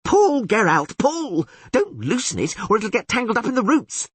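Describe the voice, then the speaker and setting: A man voicing an excitable, boastful young male bard who exclaims loudly and breathlessly in an audiobook. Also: extremely high pitch, normal speed, husky, dry recording, voice pushed up, heavily acted. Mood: excited. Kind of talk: audiobook character voice.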